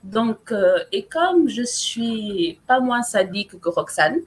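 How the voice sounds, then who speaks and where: A woman's voice: a little high, very animated, slow. Playful woman. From Portuguese-speaking country. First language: Portuguese. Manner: playful, teasing, self-mocking. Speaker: author guest. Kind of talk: casual live chat.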